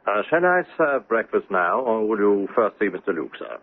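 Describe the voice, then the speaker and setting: A man's voice: on the low side, big pitch swings, normal speed. A man playing a polite, deferential household servant in an old-time radio drama, formal and obliging. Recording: tinny band-limited radio drama recording.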